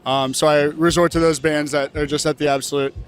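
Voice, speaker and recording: A man's voice: fairly high pitch, flat delivery, a little fast. A man from Pennsylvania, a band's singer, answering interview questions in a rambling, hesitant, self-deprecating way at an outdoor festival. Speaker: band singer.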